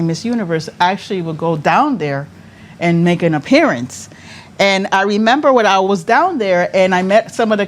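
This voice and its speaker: A woman in her fifties who was born in Cuba, a pageant director sharing her journey as a guest. Her voice sits at a middling pitch, and she talks in a lively way with frequent laughs and many self-corrections. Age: 50s. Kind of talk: interview storytelling.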